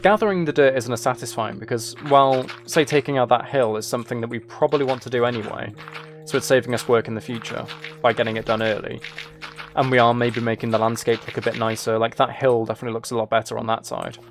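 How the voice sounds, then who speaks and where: A man's voice: medium pitch, fairly even intonation, fast talker, soft background music. A man livestreaming a game, casually rambling and thinking aloud as he replies to viewers. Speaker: livestreamer, gaming and ASMR channel creator. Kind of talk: casual live stream chat while gaming.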